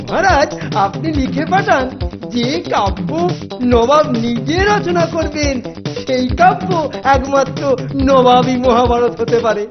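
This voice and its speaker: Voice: lively intonation, measured pace. An actor voicing a scheming, scornful male royal minister in a cartoon, officious and condescending. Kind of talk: Bengali cartoon voice acting. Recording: muffled low-fidelity track with music.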